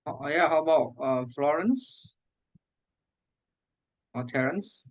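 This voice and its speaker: A man's voice: on the high side, lively intonation, slow. A man who organizes the meetup, prompting the speaker casually about who should talk next on a video call. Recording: dull lo-fi call audio.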